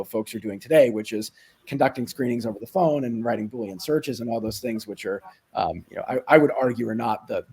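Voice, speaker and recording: A man's voice: medium pitch, gravelly, a little mellow, husky. A man speaking as an executive in a business interview, explaining things conversationally with frequent asides. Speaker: company executive being interviewed.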